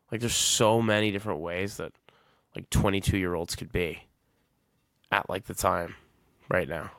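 A man's voice: deep voice, creaky, a bit bright, no room sound. A man in his twenties hosting a solo podcast, talking casually and reflectively, rambling and often correcting himself. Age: 20s. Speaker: podcast host.

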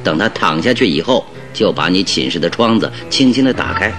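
Radio drama detective: very low voice, shrewd, exacting, deliberate and commanding. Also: male voice, expressive, a bit bright, faint music behind. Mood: firm, instructing. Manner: deliberate, instructing, emphatic. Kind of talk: Mandarin radio drama acting.

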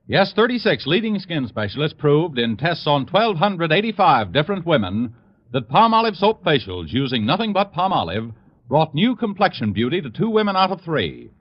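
Male American 1940s ad announcer, slightly high animated voice, dull vintage recording. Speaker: commercial announcer. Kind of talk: 1940s radio ad read. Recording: muffled vintage radio recording.

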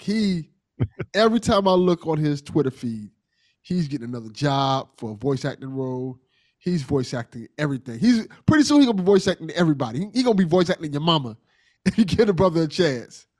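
A man's voice: fairly high pitch, a little mellow, no room sound, studio quality. An American man hosting a podcast in a casual, conversational style with a jokey, informal manner. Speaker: podcast host.

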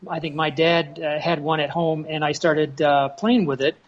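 A man's voice: a little high, steady tone, normal speed, muffled recording. An American man in his fifties reminiscing casually as a podcast guest, heard over an internet call. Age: 50s.

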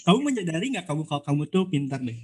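A man's voice: fairly high pitch, sing-song, fast. A man, hosting a casual podcast in a chatty, informal way that often repeats words. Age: 20s. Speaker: podcast host, law student.

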